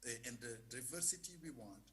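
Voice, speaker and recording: A man's voice: mid-range voice, animated, a little fast, fairly bright tone. A man from Senegal with a Senegalese French accent, earnestly answering a question on a conference panel and often repeating words as he restarts. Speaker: panel speaker. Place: conference hall. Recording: faint hum in a hall.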